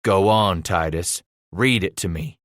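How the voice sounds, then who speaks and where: A stern, gruff frontier patriarch played by a male narrator thunders through a historical audiobook. His speech is folksy and forceful, with a bright, hard edge and a dry, close sound. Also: deep voice, measured pace, no room sound. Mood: pleading, tense. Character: angry domineering frontier father, gruff and stern.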